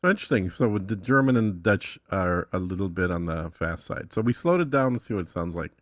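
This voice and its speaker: A man's voice: low voice, expressive, brisk, dry recording, clean studio sound. A man giving a casual tutorial as a podcast guest, informal and thinking aloud as he goes. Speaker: podcast guest demonstrating an app.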